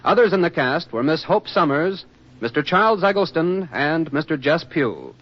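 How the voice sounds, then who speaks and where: Animated 1940s American male radio announcer. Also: a little high, moderate pace. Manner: formal, enunciated. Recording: dull 1940s radio recording.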